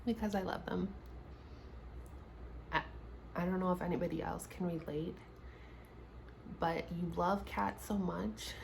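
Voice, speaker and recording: A chatty woman in her late twenties with a slightly lower voice, taking questions one by one in a relaxed home vlog. She laughs easily and restarts her sentences as she goes. A faint hum of room noise sits behind her. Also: creaky. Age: 20s. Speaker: YouTuber. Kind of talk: casual sit-down Q&A vlog. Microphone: camera mic, seated at home.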